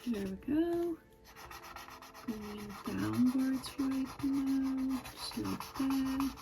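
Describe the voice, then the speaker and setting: Soft-spoken woman with a Persian accent. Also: high-pitched, drawn-out words, creaky. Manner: soft-spoken, chatty, thinking aloud. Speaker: drawing video creator. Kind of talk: casual chat while drawing. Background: faint music and marker scratching.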